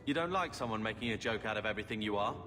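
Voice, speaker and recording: An actor playing a resentful, sarcastic young brother in a fantasy video game drama. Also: male voice, on the low side, expressive, very fast, natural voice. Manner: sarcastic, sullen. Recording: long reverb, loud music behind.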